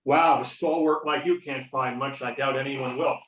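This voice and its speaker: A woman's voice: extremely low pitch, steady tone, moderate pace. A woman playing a wry investigator making a phone call in an amateur readers theater reading. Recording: echoey room over conference call.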